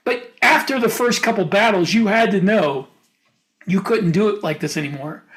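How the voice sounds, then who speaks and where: A man's voice: high voice, normal speed, fairly bright tone. An American man co-hosting a podcast, talking casually and reflectively in a panel discussion. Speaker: podcast co-host.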